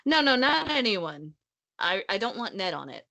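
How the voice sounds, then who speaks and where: A woman's voice: mid-range voice, big pitch swings, normal speed, creaky, bright, forceful tone. A woman co-hosting a casual book discussion, talking in a blunt, emphatic, sarcastic way. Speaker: podcast co-host discussing a novel.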